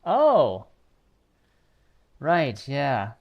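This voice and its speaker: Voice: mid-range voice, huge pitch swings, very slow. A livestreamer giving casual, muttered short reactions while playing a game. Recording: dull, muffled sound with faint game music.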